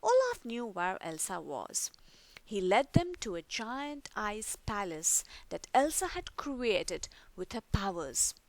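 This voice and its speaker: A woman narrates a children's storybook aloud. Her delivery is slow and expressive, with an animated melody, captured in a dry, close sound. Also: mid-range voice, dry recording. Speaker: storybook reader.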